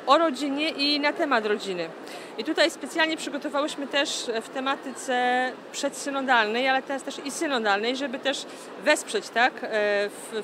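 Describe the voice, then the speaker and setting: Woman in her thirties, high voice, hesitant fillers, handheld mic, loud hall noise. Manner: earnest, many "e" fillers and "tak?" tags. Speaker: nun from a publishing house. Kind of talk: interview answers at a stand. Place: busy indoor book fair hall. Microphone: handheld interview mic. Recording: noisy book fair hall.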